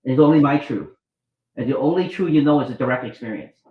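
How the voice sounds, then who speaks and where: A man's voice: medium pitch, fast. Brief: middle-aged man from Vietnam, rambling anecdote teller, roomy echo, muffled sound. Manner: rambling, restarts, acts out quoted dialogue. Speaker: guest, doctor and author. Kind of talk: storytelling interview.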